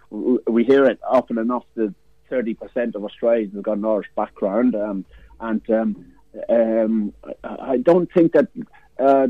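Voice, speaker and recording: Guy calling in to radio, festival organizer, halting, lots of restarts, tinny dry phone sound. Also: medium pitch, no room sound. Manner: halting, many pauses and restarts.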